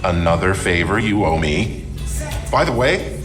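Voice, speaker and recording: An actor playing a cocky, shifty hacker and fixer in a video game, slangy, irreverent and wisecracking. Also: male voice, low voice, expressive, measured pace, music in the background. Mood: sly, amused. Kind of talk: video game cutscene acting.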